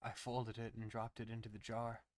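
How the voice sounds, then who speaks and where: Male horror narrator, medium pitch, scripted first-person storytelling, very dry close recording. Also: completely flat delivery, moderate pace, no echo.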